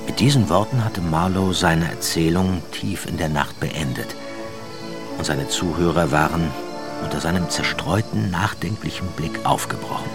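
A man acting as a solemn narrator, reading a literary tale in measured radio drama style. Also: low-pitched, close to an everyday voice. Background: loud music.

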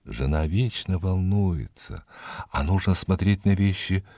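A man narrating an animal tale as a theatrical, expressive storyteller, reading from a script. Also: deep voice, measured pace, vocal fry, no echo, studio quality.

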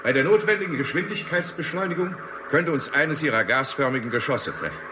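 An actor playing an earnest, serious scientist speaking formally and deliberately in a 1960s radio play. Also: male voice, on the high side, animated, close to an everyday voice. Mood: earnest, cautioning. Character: earnest male scientist leading urgent talks with an alien. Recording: noisy old radio play recording.

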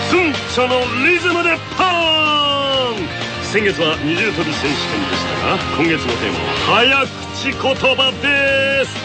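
A man hosting a radio show in a playful, excitable announcing style, switching between scripted segments and banter. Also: very high voice. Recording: music playing behind the voice. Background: music.

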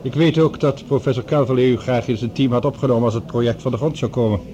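A man's voice: mid-range voice, fairly even intonation, fast talker, noisy background, lightly acted. An actor playing a courteous, wary male professor, formal and collected, in radio drama style.

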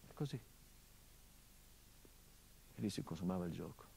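An actor playing a hardened, grieving middle-aged son, delivering a measured theatrical monologue that drops to hushed tones. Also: male voice, deep voice, lively intonation, vocal fry, slight background noise. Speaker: stage actor.

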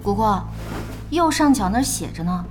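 An actress playing a gentle, curious young female gamer in an audio drama, mild, composed and supportive. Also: medium pitch, lively intonation, normal speed, faint music behind, close to an everyday voice. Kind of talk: Chinese audio drama acting.

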